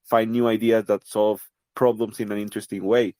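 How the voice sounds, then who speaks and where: Costa Rican man, low dry voice. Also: little pitch movement, moderate pace, warm tone, no room sound. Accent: Costa Rican Spanish. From Costa Rica. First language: Spanish. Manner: thoughtful, with frequent fillers and restarts. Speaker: guest, crypto project representative. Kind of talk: casual interview conversation.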